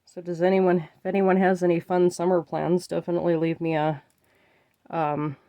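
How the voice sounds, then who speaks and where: A woman's voice: on the low side, flat delivery, normal speed, no room sound, highs cut off. A woman with a stuffy, congested nose chatting casually to viewers while she makes bracelets. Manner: chatty, often addresses viewers as you guys. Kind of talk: casual chat while crafting.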